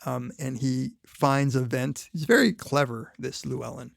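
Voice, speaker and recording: A man co-hosting a podcast, chatting casually about a film, animated and quick to laugh. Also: medium pitch, vocal fry, no echo, clean studio sound. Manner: animated, laughs often, recounts scenes. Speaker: podcast co-host.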